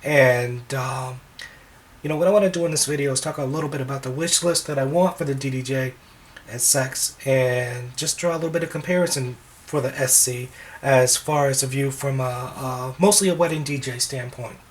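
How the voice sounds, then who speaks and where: Slightly hoarse man rambling about DJ gear. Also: medium pitch, normal speed. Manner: relaxed and rambling, many ums and restarts. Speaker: wedding DJ reviewing gear. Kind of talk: casual gear review.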